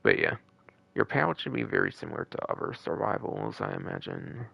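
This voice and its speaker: A man's voice: fairly low pitch, huge pitch swings, brisk, gravelly, no room sound, highs cut off. A man hosting a gaming live stream with casual, rambling, repetitive commentary and the odd swear word. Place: a room at home.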